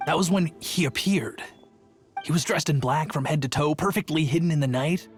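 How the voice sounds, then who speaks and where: A man's voice: a little high, moderate pace, faint music behind, close to an everyday voice. An actor playing a chatty, earnest young male town guard telling his tale in a video game. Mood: dramatic, earnest. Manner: storytelling, earnest.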